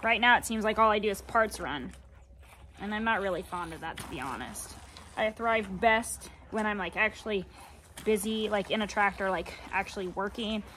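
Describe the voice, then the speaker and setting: A woman's voice: medium pitch, moderate pace, sharp tone, a little hiss or hum. Chatty woman farmer vlogging outdoors. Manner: chatty, rambling, down-to-earth. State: sore and tired. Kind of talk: casual vlog chat to camera. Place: outdoors on a farm, by fields and a cattle barn. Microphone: phone held at arm's length.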